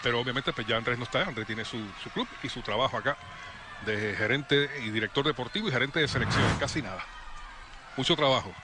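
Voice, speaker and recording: A man calling play-by-play for a youth football match, brisk and lively on attacks. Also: mid-range voice, noisy background. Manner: brisk, rising excitement on attacks. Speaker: play-by-play commentator.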